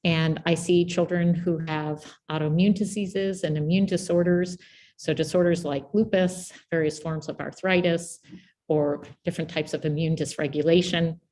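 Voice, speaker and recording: A soft-spoken middle-aged woman with a quiet voice, giving a friendly, informal public science talk as guest speaker. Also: sits low, fairly even intonation, moderate pace.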